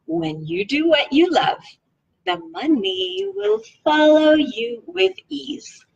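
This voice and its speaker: High, animated woman's voice reading aloud. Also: slow pace, vocal fry, muffled recording. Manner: expressive, playful. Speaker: read-along host reading a book aloud. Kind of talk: informal read-aloud of a self-help book.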